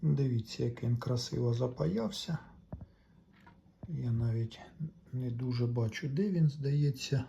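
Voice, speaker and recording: A man with a soft, dark-toned voice talks viewers through hands-on repair work. His delivery is casual and unhurried, at an average male pitch. A faint layer of ambient noise sits behind him. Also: creaky. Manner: unhurried, talks along while working, addresses viewers. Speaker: electronics repair video maker.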